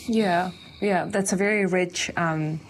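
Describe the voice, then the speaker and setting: A woman's voice: fairly low pitch, fairly even intonation, slow pace, a little hiss or hum, poor audio. A woman hosting a community radio interview, asking questions and encouraging her guest. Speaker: radio host.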